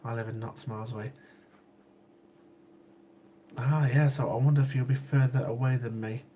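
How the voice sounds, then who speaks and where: Man, sniffly cold, rambling livestream host, mid pitch, faint hiss. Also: steady tone, moderate pace, dark tone. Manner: chatty, repeats himself, laughs easily. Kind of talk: casual chat with live chat viewers.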